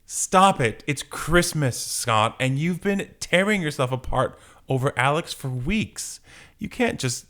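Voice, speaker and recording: A man's voice: on the high side, lively intonation, a little slow, a bit bright, pitched above the performer's own voice. A man voicing a young comic-book superheroine, affectionate and fiery, with melodramatic delivery. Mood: pleading affection. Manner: melodramatic, exclaiming.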